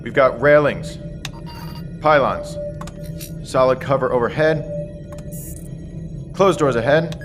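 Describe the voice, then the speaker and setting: Man, acted submarine officer, deliberate military callouts, radio drama, prominent music. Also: mid-range voice, close to an everyday voice. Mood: focused, tense. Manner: clipped military reporting. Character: dutiful naval submarine officer calling out readings. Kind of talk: audio drama acting. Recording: music clearly under the voice.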